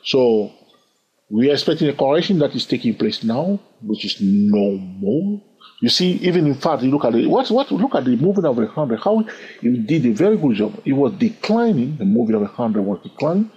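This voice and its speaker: A man teaching a chart lesson over his screen, animated and full of rhetorical questions, chuckling now and then. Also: mid-range voice, moderate pace, breathy edge. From lives in London. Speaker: trading educator. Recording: dull lo-fi sound.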